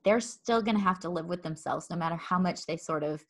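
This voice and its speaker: A woman's voice: lowish voice, brisk, crowd noise. A woman hosting an interview, earnest and wordy, with frequent ums and repeated words. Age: adult with a child. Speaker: interview host. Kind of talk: interview conversation.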